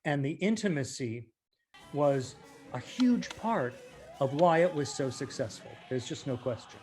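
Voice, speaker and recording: Casting: articulate man, slightly high voice, emphatic, reflective interview talk with music behind. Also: expressive, a little slow, a little mellow. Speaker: stage actor, guest.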